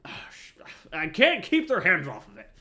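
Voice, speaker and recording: Man playing a blustering, animated fantasy miller. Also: high-pitched, moderate pace, heavily acted. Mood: exasperated. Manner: grandiose, declamatory. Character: blustering, impatient miller obsessed with his craft. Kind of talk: theatrical fantasy game quest dialogue.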